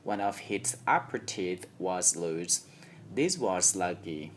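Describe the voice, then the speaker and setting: A young man with a Thai accent narrates an audiobook in a fairly low voice. His reading is very slow and careful, with faint noise behind it. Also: lively intonation. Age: young adult. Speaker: student audiobook reader. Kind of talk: scripted story narration.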